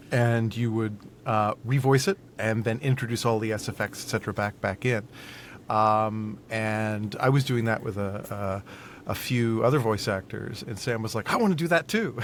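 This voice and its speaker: A man's voice: mid-range voice, a little hiss or hum. A man talking casually as a guest in a podcast interview. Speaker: guest, voice actor.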